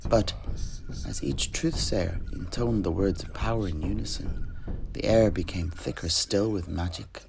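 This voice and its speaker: A man plays a wistful fantasy alchemist telling tales of his homeland. His voice is low and gravelly, his delivery measured and poetic, with faint noise behind it. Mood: awed, grave. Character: wistful male alchemist truth-sayer recounting his homeland. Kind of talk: in-character fantasy storytelling.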